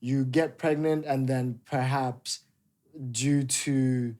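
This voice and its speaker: A young man in his twenties hosts a casual interview with an easygoing, informal manner. He keeps dropping short "okay", "cool" and "yes" replies, and his voice sits at a middling pitch. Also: slow pace. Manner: relaxed, frequent "okay", "cool" and "yes" backchannels. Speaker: podcast host.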